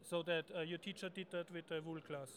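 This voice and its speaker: A man's voice: a little high, fairly even intonation, quick pace, a crowd in the background. A man interviewing a girl, often restarting and rephrasing his questions. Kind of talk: casual interview.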